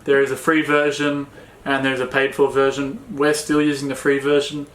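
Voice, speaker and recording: An Australian man co-hosting a sailing chat, talking in a relaxed, plain way with many fillers. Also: mid-range voice, normal speed, echoey room, poor audio. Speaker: sailing vlog co-host. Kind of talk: casual Q&A chat.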